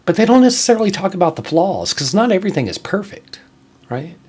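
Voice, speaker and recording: A man hosting a music show, talking casually and unscripted in a halting, conversational way, repeating words and leaning on key points. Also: high-pitched, sing-song, a little mellow, breathy edge. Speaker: music show host and reviewer. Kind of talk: casual solo podcast update, unscripted.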